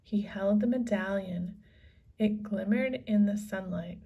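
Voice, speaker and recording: A woman's voice: medium pitch, a little slow, gravelly, dark tone, husky, recorded from a distance. A woman narrating a children's adventure story as a scripted read-aloud. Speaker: storybook reader.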